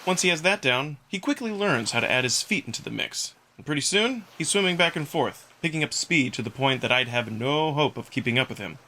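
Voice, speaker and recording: A man reading scripted first-person narration from a visual novel in an expressive storytelling manner. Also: on the high side, brisk, hardly any pauses, slight background noise. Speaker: narrator and voice performer.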